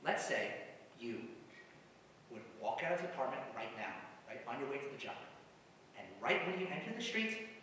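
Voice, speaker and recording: Man acting excitable old comedy writer, echoey hall. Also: mid-range voice, normal speed, long pauses. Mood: urgent, persuasive. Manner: theatrical, emphatic, jokey. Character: ailing, eccentric old male comedy writer, excitable and mischievous. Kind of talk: stage acting in a one-act play. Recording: echoey hall from far off, noisy.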